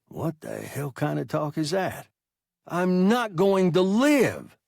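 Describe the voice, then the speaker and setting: A man voicing a thin-voiced wounded young pilot, whiny, bitter, accusing, raspy. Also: sing-song, slow pace, darkish tone, dry recording, clean studio sound, full character voice. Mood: flat, hopeless. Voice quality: thin, high whining voice. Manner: bitter, shrill and rising to screams.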